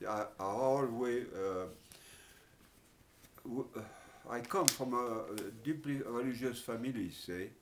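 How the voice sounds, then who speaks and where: An older man with a French accent, recalling his past in an interview, speaking haltingly with many pauses and fillers. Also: medium pitch, slight background noise. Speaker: oral history interviewee. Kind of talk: reminiscing in an interview.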